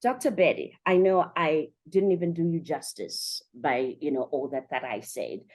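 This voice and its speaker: A woman with a fairly low voice hosts a podcast interview show. She is enthusiastic and chatty, talking directly to her audience in an upbeat presenting style. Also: moderate pace, a little mellow. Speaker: show host and conference organiser.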